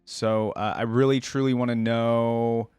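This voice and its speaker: A man's voice: mid-range voice, flat delivery, unhurried, darkish tone, clear, resonant tone, dry recording, soft background music. A man hosting a reaction video, casually musing aloud and explaining his opinions in a conversational way.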